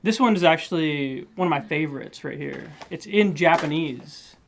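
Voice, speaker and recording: A man's voice: on the high side, normal speed, creaky, a little hiss or hum. A young man from the Philippines, a livestream guest, chatting casually with dry jokes and a hesitant delivery. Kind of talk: casual chat.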